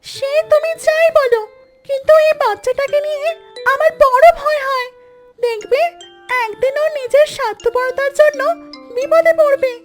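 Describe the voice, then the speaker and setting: A woman's voice: very high voice, fairly even intonation, moderate pace, faint music behind. A woman voicing a worried, protective cartoon mother duck who frets and scolds her young. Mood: anxious. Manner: fretful, admonishing.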